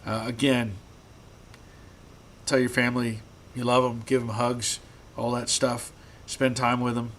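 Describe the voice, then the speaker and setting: A man hosting a live stream from his garage, answering viewer comments in a relaxed, halting way, repeating words, his voice getting rough after long talking. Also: medium pitch, slight background noise. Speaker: host.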